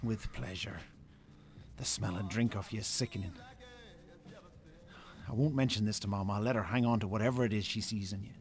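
An actor playing an angry, scornful Irish brother in a radio drama, scolding with sarcasm and swearing. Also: male voice, lowish voice, fairly quick, long pauses, close to an everyday voice. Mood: contemptuous. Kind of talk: naturalistic radio drama acting.

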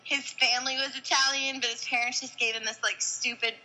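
A young woman in casual conversation as a podcast co-host, chatty and quick to laugh. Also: high voice, little pitch movement, pushed and bright, poor audio. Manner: giggly, chatty, trails off into laughs. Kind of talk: casual chat.

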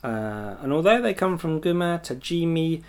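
A man's voice: on the high side, lively intonation, moderate pace. A man co-hosting a music show, bantering and laughing between tracks in a loose, tipsy way. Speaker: radio show co-host.

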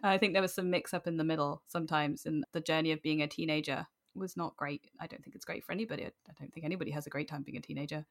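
Lower-pitched young British woman, chatty. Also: fairly even intonation, racing, hardly any pauses. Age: late 20s. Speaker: podcast guest, writer. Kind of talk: candid conversational interview.

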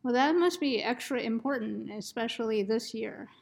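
A woman's voice: on the high side, normal speed, gravelly. A woman interviewing a guest, asking questions and responding with frequent short acknowledgements. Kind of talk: conversational interview.

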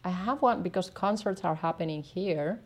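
A Spanish woman with a Spanish accent, a native Spanish speaker, chatting as a guest in a casual interview, self-deprecating and quick to laugh. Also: on the low side. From Spain. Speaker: artist and researcher guest.

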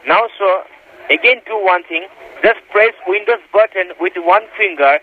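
A man's voice: high-pitched, moderate pace. A man giving repetitive, insistent step-by-step instructions as a tech support caller, addressing the listener as sir over a phone line. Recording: tinny phone line with background noise.

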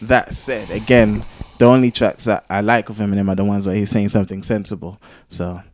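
A man with a fairly low voice talks casually as a podcast co-host. He rambles through his points and gets animated when debating. The sound is dry, with very little room in it. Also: brisk, no room sound. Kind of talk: casual chat.

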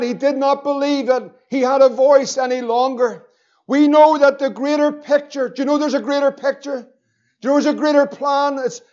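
A male pastor with a Northern Irish accent, preaching a fervent sermon, repeating phrases and slowing down for emphasis. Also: very high-pitched, darkish tone, muffled recording.

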